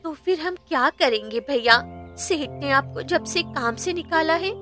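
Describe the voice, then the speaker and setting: A woman's voice: high voice, lively intonation, a little slow, bright, forceful tone, soft background music, voice pushed up, full character voice. A woman voicing a timid, tearful poor young sister in a cartoon moral story, pleading and hurt. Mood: worried. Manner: plaintive, pleading.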